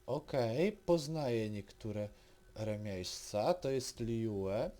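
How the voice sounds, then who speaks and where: A Polish man commenting casually on a game in a medium-pitched voice. He speaks slowly and haltingly, often muttering his reactions. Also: expressive, warm tone. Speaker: gaming streamer. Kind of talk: casual let's-play commentary.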